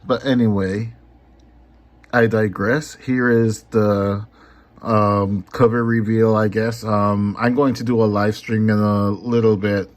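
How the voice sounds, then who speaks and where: A man talking casually in a vlog about his comics and live streams, unhurried, with many ums. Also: fairly low pitch, level tone. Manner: unhurried, lots of ums and filler. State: a little hoarse. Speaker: comic artist and YouTuber.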